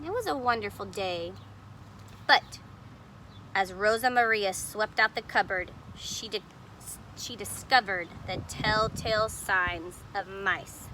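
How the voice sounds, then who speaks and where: Bright-voiced woman reading a storybook aloud. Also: on the high side, a little hiss or hum. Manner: explains pictures simply, as to young children. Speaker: storybook reader. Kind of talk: expressive read-aloud of a picture book with plain asides on the illustrations.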